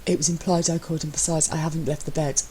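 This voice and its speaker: A woman voicing a bland, deadpan young man who teases his husband with mock servility, in an audio story. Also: low voice, quick pace, a little hiss or hum, natural voice. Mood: deadpan teasing.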